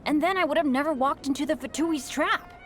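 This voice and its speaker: A woman's voice: high voice, a little fast, a little hiss or hum, natural voice. A woman voicing a cheerful, apologetic young male adventurer in a video game cutscene, eager and self-blaming. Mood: relieved. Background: game music.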